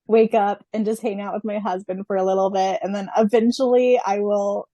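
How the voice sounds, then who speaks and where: A woman with a mid-pitched voice talks casually as a podcast co-host. She muses conversationally, and her voice sounds dry, with very little room around it. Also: steady tone, brisk, dark tone, no echo, dull audio. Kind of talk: casual chat.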